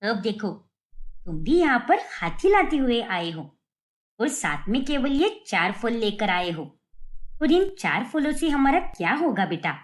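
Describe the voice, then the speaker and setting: A woman's voice: fairly high pitch, very animated, unhurried. A woman voicing a nagging, sarcastic middle-aged mother-in-law with a taunting, grumbling manner in a cartoon story.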